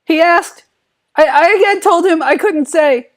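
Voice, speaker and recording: A man's voice: very high-pitched, a little slow. A man voicing a nervous, timid adolescent cartoon boy, stuttering through narration in a comedic dramatic reading. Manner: anxious stuttering, repeated word starts.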